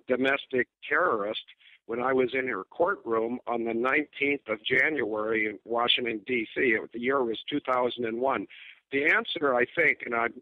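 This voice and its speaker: An older man with an Upper Midwest American accent, a guest calling in on a cell phone, speaking emphatically and deliberately with mocking asides. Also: medium pitch, no room sound. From United States. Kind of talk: phone-in interview. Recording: tinny cell phone line.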